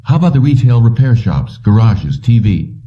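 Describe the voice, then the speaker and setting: A man's voice: mid-range voice, sing-song, moderate pace, voice pushed up, close to an everyday voice. A man voicing a determined electrician looking for work, asking plain, terse questions in an audiobook. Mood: probing. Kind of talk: audiobook character dialogue. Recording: dull lo-fi, distant from mic.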